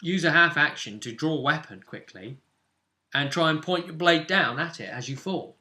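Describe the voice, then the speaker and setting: A man's voice: on the high side, expressive, normal speed, sharp tone. A British man casually recapping a tabletop role-play session as game master, telling it like a story in loose, broken pieces.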